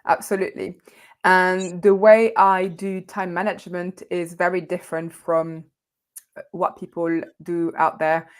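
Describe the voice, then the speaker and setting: French-accented woman coach, slightly low voice, animated, laughs a lot, restarts phrases. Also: measured pace. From France. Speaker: guest coach. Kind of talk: conversational interview.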